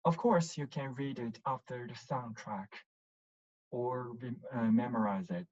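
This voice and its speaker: A language teacher explaining and drilling pronunciation in a deliberate, instructive manner, often checking in with short questions. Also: mid-range voice, darkish tone, dull audio.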